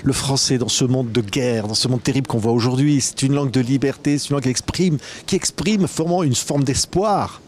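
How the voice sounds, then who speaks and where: A man's voice: mid-range voice, a little fast, few pauses, darkish tone, slight background noise. A man hosting a TV interview, conversational, with fillers as he quotes his guests back to them. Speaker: TV interviewer, host.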